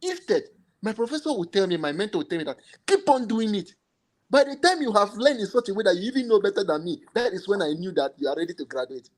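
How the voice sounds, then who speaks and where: Emphatic higher-pitched Nigerian man. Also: animated, fast. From Cameroon, Nigerian parents. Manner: emphatic, repeats phrases. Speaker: computational biochemist, panel guest. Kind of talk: animated panel discussion.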